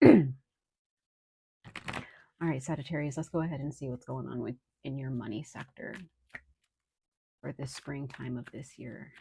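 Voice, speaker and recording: Low-voiced woman with a cold. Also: steady tone, creaky, warm tone, slight background noise, poor audio. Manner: unhurried and reassuring, speaks directly to viewers with frequent ums. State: under the weather with a cold, slightly hoarse. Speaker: tarot reader. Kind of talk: casual tarot reading to viewers.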